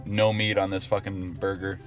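A young man talking casually and slangily in a deadpan way, swearing freely, recorded inside a car. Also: low-pitched, normal speed, faint music behind. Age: young adult. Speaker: prankster ordering at drive-throughs. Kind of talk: casual prank chat. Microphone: camera held by passenger beside him.

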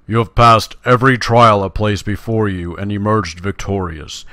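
A man's voice: lowish voice, steady tone, normal speed, sharp tone, dry recording, studio quality. A man reading fantasy game quest text aloud as a grand, formal quest giver. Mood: proud.

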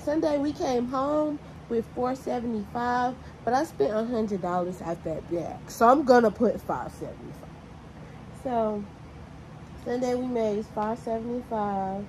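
A woman's voice: on the high side, dark tone, a little hiss or hum, low-quality recording. A young woman, chatting casually and bluntly to her vlog audience while tallying money aloud. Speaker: vlogger. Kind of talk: casual vlog talk to camera.

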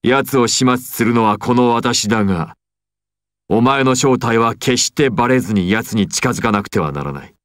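An actor playing an imperious, secretive mafia boss villain in an anime, speaking with commanding theatrical deliberation. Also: male voice, medium pitch, normal speed, fairly bright tone, no room sound, clean studio sound, lightly acted. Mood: tense, secretive. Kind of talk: anime and video game voice acting.

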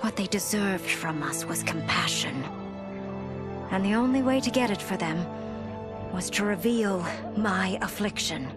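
Actress as a proud young empress, slow deliberate game narration over score. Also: female voice, medium pitch, over music, natural voice. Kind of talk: video game ending narration.

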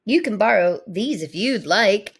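A woman playing a welcoming, tender grown-up in a children's storybook, with an expressive manner. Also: medium pitch, a little slow, breathy edge, exaggerated voice. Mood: surprised, welcoming. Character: welcoming adult woman, tender owner of baby tooth.

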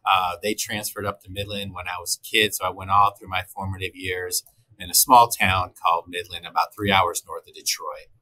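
Bright-voiced, polite middle-aged man. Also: low voice, level tone, normal speed, low-quality recording. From Texas, raised in Michigan. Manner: polite, earnest, laughs easily. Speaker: guest. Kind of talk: casual video-call interview. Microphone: video call.

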